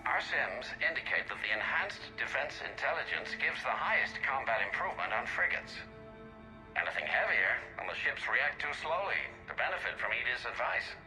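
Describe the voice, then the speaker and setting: A man's voice: medium pitch, very animated, moderate pace, bright, forceful tone, soft background music, poor audio. An actor playing an anxious, deferential scientist in a video game, cautious and hesitant with his superior. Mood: concerned, earnest. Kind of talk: video game cutscene acting.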